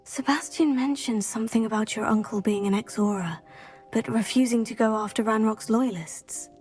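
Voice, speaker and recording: An actress playing a calm, polite young student witch who speaks in a composed way. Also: a little high, normal speed, soft background music, natural voice. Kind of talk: video game cutscene acting.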